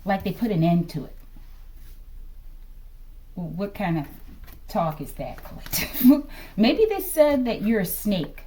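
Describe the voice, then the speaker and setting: A woman giving a casual tarot reading to her viewers, musing aloud and often ending phrases low as she addresses them. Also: sing-song, takes it slowly, pauses often, dark tone, a little hiss or hum. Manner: musing, repeatedly addresses listeners as collective, trails off low at line ends. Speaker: tarot reader.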